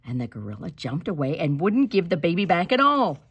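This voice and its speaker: A woman narrates a picture book for children. Her pitch swings widely as she tells the story with drama and strong emphasis. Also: sing-song, a little fast, husky. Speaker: storybook reader. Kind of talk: animated read-aloud children's narration.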